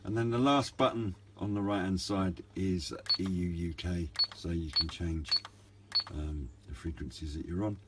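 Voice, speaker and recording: A man with a low voice talks through a hobby product review without a script. His delivery is hesitant and low-key, wandering a little as he goes. There is clear background noise in the recording, picked up on a handheld camera. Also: steady tone, moderate pace. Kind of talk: casual, unscripted product review.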